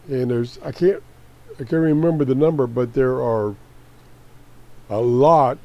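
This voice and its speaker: A man hosting a hobby video with casual, unscripted commentary in a folksy, halting way. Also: medium pitch, vocal fry, dark tone, lo-fi sound. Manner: folksy, exclaiming, halting while browsing. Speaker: radio hobbyist video host.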